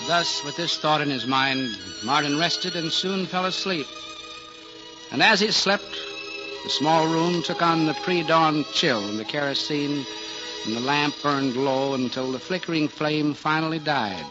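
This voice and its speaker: Music swells under a male storyteller in a muffled old radio recording. His voice sits a little high, and he narrates the scripted drama in a solemn, literary forties style. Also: a little slow.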